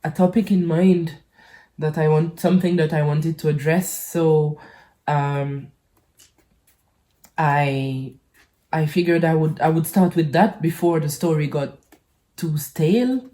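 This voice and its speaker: Low-pitched, unhurried woman, hesitant talker. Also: warm tone. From French Caribbean island. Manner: hesitant, halting, rambling with frequent pauses and repeats. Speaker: YouTube channel host. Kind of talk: casual personal vlog talk.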